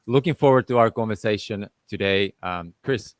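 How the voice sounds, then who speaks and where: A man hosts a live-streamed interview, his voice low and deep. His speech is friendly and loose, full of little fillers. The sound is dry and close, with no room around it. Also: normal speed, dry recording. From Finland. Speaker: host. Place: at home in a tropical island location.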